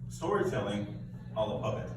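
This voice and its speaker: A man's voice: on the low side, big pitch swings, fairly quick. A man tells a children's story live, heard from a distance in a very echoey room. His delivery is informal and playful, with big swings in intonation and some hesitations as he narrates. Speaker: puppet show narrator and storyteller. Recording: echoey, noisy room, far from mic.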